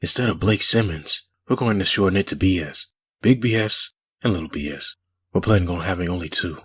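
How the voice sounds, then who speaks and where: Man playing husky, deep-voiced wisecracker. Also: brisk. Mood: teasing, cheeky. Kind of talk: comic dub character acting.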